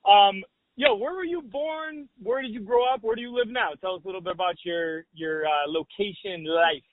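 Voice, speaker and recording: High-voiced man hosting a casual podcast. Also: no echo. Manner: easygoing, chuckling, halting questions. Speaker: podcast host. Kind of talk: casual interview. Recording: dry, phone-like band.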